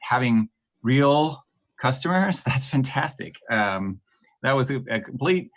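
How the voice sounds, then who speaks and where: A man's voice: medium pitch, expressive, measured pace. A middle-aged man from Denmark with a Danish accent, a podcast guest who talks hesitantly, with many ums, restarts and light laughs. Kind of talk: podcast interview.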